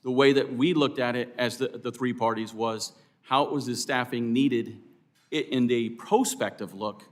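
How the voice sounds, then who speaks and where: An American man answering as an expert witness at a hearing, in a formal, deliberate and earnest way. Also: mid-range voice, fairly even intonation. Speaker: expert witness for air traffic controllers. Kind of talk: formal testimony.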